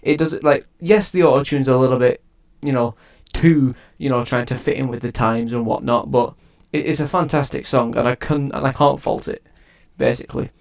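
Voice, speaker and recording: A man's voice: medium pitch, steady tone, moderate pace, gravelly, few pauses. A man giving a casual spoken review of an album, rambling and often restarting his sentences. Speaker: music reviewer and producer.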